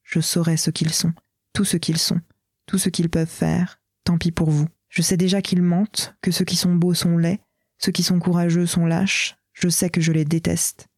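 A defiant young water sprite. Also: female voice, lowish voice, level tone, moderate pace, a little mellow, dry recording, clean studio sound. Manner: impassioned, declamatory stage delivery.

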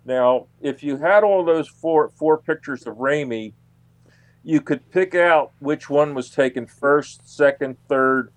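A man answering as an interview guest on radio, haltingly searching for his words. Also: fairly high pitch. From United States. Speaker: guest, UFO researcher.

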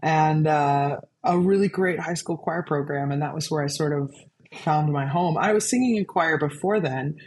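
Chatty low-voiced woman. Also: moderate pace, a little mellow, a little hiss or hum. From Arkansas, USA. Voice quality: low speaking voice. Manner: chatty, laughs often, many fillers. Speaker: guest composer. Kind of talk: casual conversational storytelling.

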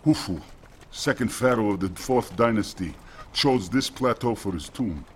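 An actor playing a proud, courteous Egyptian guide, speaking formally and declaiming as in a radio drama. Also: male voice, fairly low pitch, lively intonation, normal speed, slight background noise, natural voice. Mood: proud, reverent. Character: proud, courteous Egyptian desert guide, knowledgeable about pharaohs.

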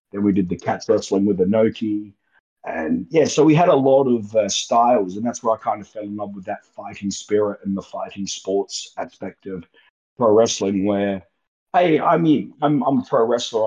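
A young Australian man with a fairly low voice chats loosely as an interview guest, drifting through long stories and laughing easily. His voice comes through a remote call, muffled and lo-fi, with the top end dulled. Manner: relaxed, rambling, laughs easily. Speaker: guest wrestler. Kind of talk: casual interview chat.

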